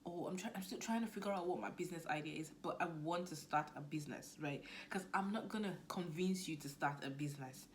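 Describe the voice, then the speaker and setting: A young woman with a Nigerian accent talking casually to camera as a business coach, lively and now and then dropping to a near-whisper. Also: low voice, fast talker, few pauses, slight background noise. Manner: chatty, animated, drops to near-whisper asides.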